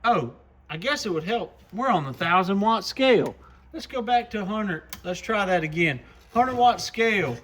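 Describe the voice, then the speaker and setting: A man with a slightly high voice presents gear in a casual, folksy demo. He sounds enthusiastic and speaks informally, as a shop presenter would to regular viewers. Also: animated, normal speed, background noise.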